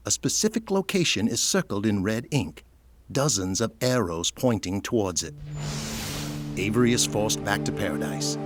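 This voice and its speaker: A man narrating a scripted audio drama, reading out the action as a storyteller. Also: on the low side. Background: music.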